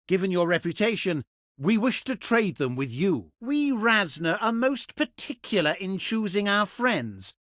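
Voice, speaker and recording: A pompous ancient Etruscan statesman for a strategy game, cultured and condescending. His formal, theatrical delivery swings widely in pitch with deliberate emphasis. The sound is dry, with no room around it. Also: a bit bright, no room sound, heavily acted. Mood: lofty persuasion. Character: haughty ancient Etruscan male diplomat, pious, cultured and condescending. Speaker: faction diplomat in a strategy game. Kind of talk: theatrical scripted game voice acting.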